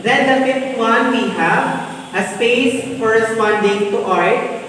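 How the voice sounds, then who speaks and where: A man giving a class presentation as a student, halting and hesitant with many filled pauses. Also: very high-pitched, a bit bright. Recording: very echoey hall, distant mic, noisy.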